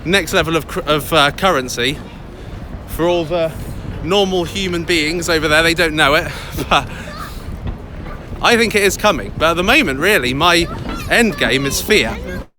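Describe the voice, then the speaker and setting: An English man in a loud, chatty, upbeat manner, vlogging live from a busy city street into a handheld phone. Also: a little high, normal speed. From England. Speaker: crypto vlogger. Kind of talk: casual live vlog. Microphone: phone held by hand, close. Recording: outdoor street noise. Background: city traffic and passers-by.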